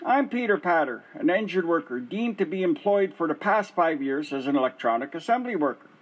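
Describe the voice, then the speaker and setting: A man's voice: a little high, sing-song, brisk, slightly rough, highs cut off. A man playing a frustrated middle-aged injured worker who calls one office after another and insists on his case. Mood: flustered. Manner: exasperated, insistent. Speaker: caller. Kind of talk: amateur radio play acting.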